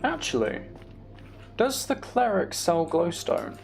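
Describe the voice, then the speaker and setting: A man's voice: high voice, wildly swooping pitch, slow pace, creaky, soft background music. A British man giving casual video game commentary, thinking aloud as he plays. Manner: thinking aloud, mild swearing. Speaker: gamer narrating own play.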